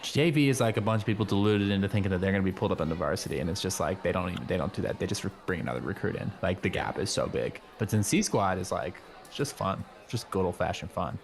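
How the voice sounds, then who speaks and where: A man plays a laid-back teenage schoolboy in an improvised radio play. His voice is fairly low, and he rambles in chummy slang with halting phrases. There is faint noise behind him. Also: lively intonation, gravelly. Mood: easygoing, philosophical.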